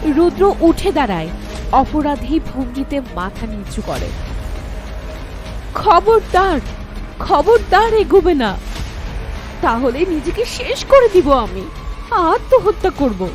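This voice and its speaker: A woman voicing a young wife who tells her own horror story in the first person, in a slow, tense manner, for an animated ghost story. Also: high-pitched, faint music behind, full character voice. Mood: frightened.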